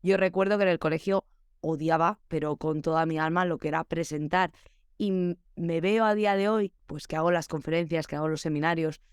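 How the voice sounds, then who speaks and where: A woman's voice: low-pitched, fairly even intonation, fairly quick, clear, resonant tone, dry recording, clean studio sound. A young adult woman hosting a solo podcast for teachers, chatty and reflective, giving practical advice.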